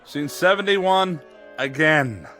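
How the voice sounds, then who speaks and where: A commanding director on a western TV set. He speaks in measured, slow orders with lively pitch. Music is clearly heard behind him in this radio drama. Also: exaggerated voice. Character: brisk male TV film director giving orders.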